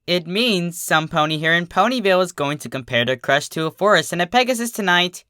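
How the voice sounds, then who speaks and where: A narrator voicing a bubbly, excitable young female pony, cheerful and eager. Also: mid-range voice, brisk, clean tone, no echo, studio quality, pitched above the performer's own voice. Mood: excited.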